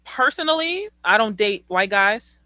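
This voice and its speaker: A woman's voice: medium pitch, very animated, a little slow, bright, forceful tone, a little hiss or hum. A woman in her twenties hosting a casual group conversation, blunt and outspoken. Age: 20s. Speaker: host.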